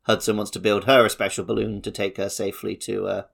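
Low-pitched man chatting on a podcast. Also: flat delivery, quick pace, gravelly, slightly rough. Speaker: podcast co-host. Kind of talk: casual video game podcast chat.

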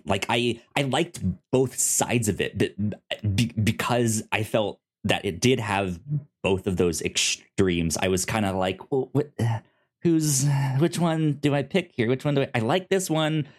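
An American man co-hosting a review podcast, talking casually in a halting, rambling way with stammered starts. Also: mid-range voice. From United States. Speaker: podcast co-host reviewing audio fiction.

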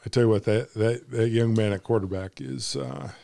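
Agreeable halting man, casual host. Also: mid-range voice, creaky, warm tone. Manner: agreeable, halting, lots of affirmations. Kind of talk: casual sports chat.

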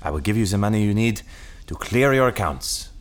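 A man's voice: lowish voice, lively intonation, fairly quick, natural voice. An actor playing a proud, controlling husband and father in an audio drama. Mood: firm, insistent.

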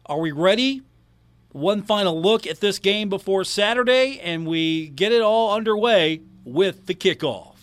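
A man's voice: sits high, animated, a little slow. An American man talking as a sports radio host, delivering commentary and announcements into a close studio microphone. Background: music.

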